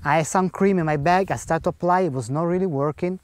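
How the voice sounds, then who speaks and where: A non-native English-speaking man recounts his testimony in earnest, plain wording, acting out the people in his story. His voice sits a little high for a man, and the recording is close and dry with no room sound. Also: quick pace, dry recording. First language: not English. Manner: earnest storyteller who acts out the dialogue, in simple non-native grammar. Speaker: speaker giving a personal testimony. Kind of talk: personal faith testimony storytelling.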